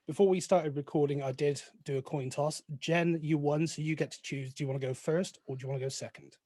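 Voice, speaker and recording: A man's voice: fairly high pitch, fairly even intonation, brisk, warm tone, dry recording. A man from England with an English accent, casually running a quiz as a guest and trading banter. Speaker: guest quizmaster.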